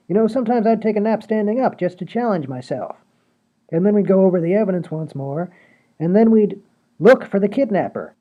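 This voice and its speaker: Comedy podcast handyman, male performer: former cop, clueless, folksy, long-winded, on a slightly muffled lo-fi recording. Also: high voice. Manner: rambling, folksy, unhurried storytelling. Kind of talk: comedy radio play acting. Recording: dull lo-fi podcast audio.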